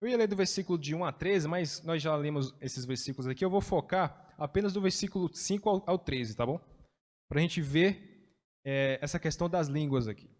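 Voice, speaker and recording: Male Bible teacher, high voice, chatty and explanatory, long reverb. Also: normal speed. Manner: chatty, explains with everyday examples, frequent "né". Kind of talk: casual Bible study teaching.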